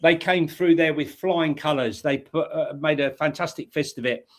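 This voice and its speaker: A man's voice: on the high side, moderate pace. A man co-hosting a casual football chat show, rambling enthusiastically with lots of fillers. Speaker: co-host.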